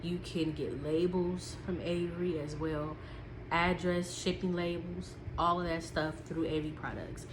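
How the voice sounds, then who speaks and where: A woman's voice: on the low side, level tone, unhurried, background noise. A woman vlogger with a stuffy, sniffly nose, chatting casually from her notes with lots of ums and repeats. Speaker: small business vlogger.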